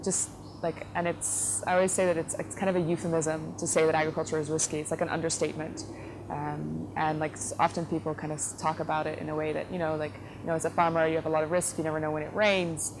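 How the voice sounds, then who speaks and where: Low, even-toned woman with many fillers. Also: fast talker. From Netherlands. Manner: thoughtful, frequent fillers and self-corrections. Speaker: company CEO, interview guest. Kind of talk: relaxed conversational interview answers. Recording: noticeable background noise.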